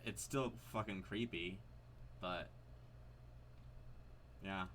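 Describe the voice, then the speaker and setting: A man's voice: low voice, extremely slow, a bit bright, slight background noise. A man giving casual, unscripted game commentary, hesitant and rambling as he thinks aloud. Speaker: video creator commenting on a horror game.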